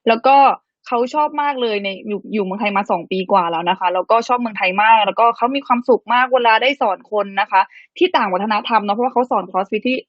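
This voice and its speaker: A woman's voice: mid-range voice, dry recording, dull audio. A woman with a Thai accent hosting a live stream interview, bubbly and laughing often as she translates for viewers. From Thailand. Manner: bubbly, laughs often, rapid code-switching. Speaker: host.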